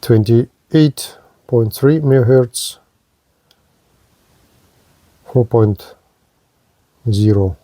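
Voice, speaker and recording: A man giving a hands-on demonstration of gear as a product reviewer, speaking in short, halting phrases. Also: medium pitch, mellow, no room sound.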